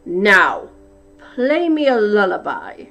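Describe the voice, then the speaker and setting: A woman voicing a huge, roaring, man-eating male giant who chants slowly and with menace. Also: animated, soft background music. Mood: commanding. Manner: booming, slow, menacing chant.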